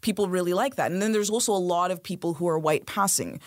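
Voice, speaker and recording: A woman's voice: lowish voice, fast talker, no echo, clean studio sound. A woman interview guest, talking in a direct, emphatic way. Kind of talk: interview conversation.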